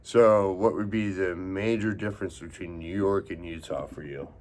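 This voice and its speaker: Low-voiced man interviewing casually. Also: steady tone, moderate pace, a little mellow. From Texas, United States. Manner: slangy, swears casually, unscripted. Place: inside a private house.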